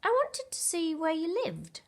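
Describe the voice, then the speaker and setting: A woman voicing a small, curious, excitable toy teddy bear cub in a children's storybook. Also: very high voice, a little slow, pitched above the performer's own voice, exaggerated voice. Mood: excited.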